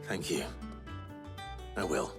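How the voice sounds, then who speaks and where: An actor playing an earnest, reserved, battle-worn young hero in a video game drama. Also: male voice, very deep voice, expressive, slow pace, music in the background, exaggerated voice. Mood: quietly pleased. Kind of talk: dramatic game cutscene acting.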